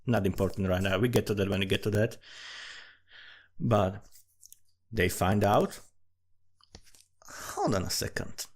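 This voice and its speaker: A man's voice: lowish voice, unhurried, long pauses, a little mellow. A man giving a rambling, informal commentary on a fantasy world he is writing, swearing freely and often restarting his phrases. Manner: profane, informal, thinking aloud. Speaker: amateur fantasy writer.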